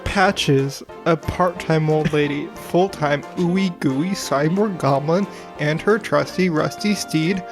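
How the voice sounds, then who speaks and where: A woman's voice: sits low, slow, few pauses. A woman delivering an informal podcast promo as a member of the cast. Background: music.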